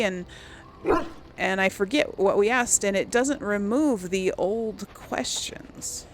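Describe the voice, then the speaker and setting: A woman's voice: medium pitch, creaky, slight background noise. A woman hosting a casual game playthrough, chatty and musing aloud as she reads out dialogue choices. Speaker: gaming video host and player. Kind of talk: casual let's play commentary, reading dialogue choices aloud.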